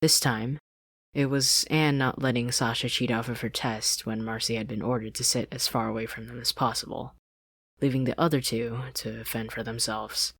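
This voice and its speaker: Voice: medium pitch, steady tone, normal speed, a bit bright, slightly rough, dry recording. Podfic narrator, scripted storytelling, close desk microphone, very dry sound. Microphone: close studio-style desk microphone, dry.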